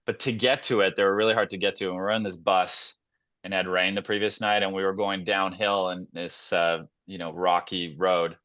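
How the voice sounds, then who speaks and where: A young man with a lowish voice tells stories in an easygoing, rambling way on a podcast, full of fillers. The sound is dry and a little dull, as if from a remote call. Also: moderate pace, dry recording. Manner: relaxed storyteller, many fillers and restarts. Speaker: podcast guest, actor. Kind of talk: casual podcast chat.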